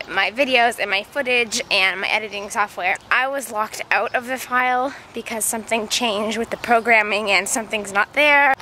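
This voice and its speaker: Stuffy-nosed chatty woman outdoors on a noisy street. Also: a little high. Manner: chatty, animated storytelling with acted-out quotes. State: has a cold. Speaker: video creator. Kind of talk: casual rambling walk-and-talk vlog. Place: outdoors, walking along city streets. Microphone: handheld camera held close while walking. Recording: outdoor street noise with passing traffic.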